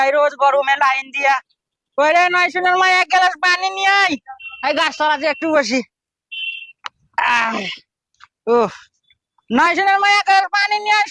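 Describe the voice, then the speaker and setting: Shrill, cranky very old Bengali villager acted by man. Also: pushed and bright, no echo. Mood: exhausted moaning. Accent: rural Birbhum Bengali. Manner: grumbling, cursing, breathless complaining. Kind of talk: comic village skit acting.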